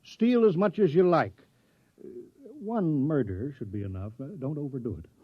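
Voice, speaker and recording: An actor playing a bossy, scheming town mayor in a 1950s radio drama, talking in a hurried, persuasive way. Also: male voice, a little high, lively intonation, slightly rough, no room sound. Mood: urgent. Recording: dull, dry 1950s radio recording.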